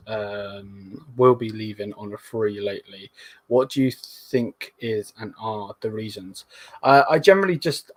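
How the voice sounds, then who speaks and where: A slightly hoarse man with a fairly low voice hosts a casual live stream, chatting loosely and filling gaps with plenty of ums. His voice is recorded dry and close, with hardly any room sound. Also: no room sound. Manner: rambling, many fillers, relaxed and hesitant. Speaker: host of a live fan stream. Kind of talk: casual live-stream chat reading comments.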